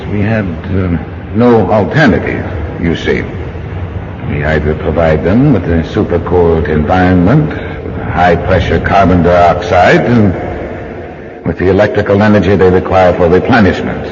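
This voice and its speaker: A man playing a coldly formal, ominous general in a radio drama, measured with hesitant pauses, on a dull, noisy recording. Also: sits low. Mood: measured, grave.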